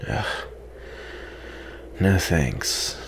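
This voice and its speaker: A man acting out a proud, sardonic captive vampire in a soft-spoken, disdainful ASMR roleplay. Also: very slow, background noise. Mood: grudging. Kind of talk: ASMR roleplay, acted monologue.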